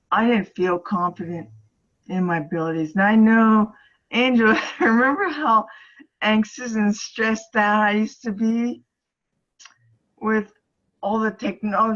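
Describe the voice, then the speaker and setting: A woman speaks slowly and earnestly on a group call, stumbling and restarting as she offers encouragement. Her voice comes through slightly dull and lo-fi. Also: medium pitch. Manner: halting, earnest, restarts often. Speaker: ministry facilitator.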